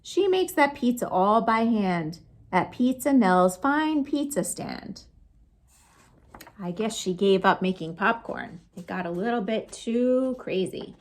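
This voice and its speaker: A woman storytime host reading picture books aloud to children, expressive and sing-song, with chatty asides to her young listeners. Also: mid-range voice, slow pace. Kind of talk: animated picture-book read-aloud for children.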